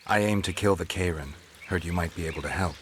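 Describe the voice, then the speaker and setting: A deep, gravelly monster hunter from a fantasy game. He speaks in terse, wry, businesslike lines, with clear noise behind him. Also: fast. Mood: terse, probing. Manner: terse, flat questioning. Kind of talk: fantasy video game dialogue.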